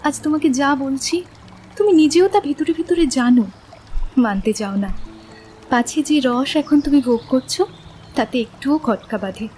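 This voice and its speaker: A woman playing a gentle, earnest young woman in a romantic audio drama, speaking softly and candidly about her doubts in love. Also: sits high, soft background music. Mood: gently firm. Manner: soft-toned, sincere, measured. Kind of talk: Bengali literary audio drama acting.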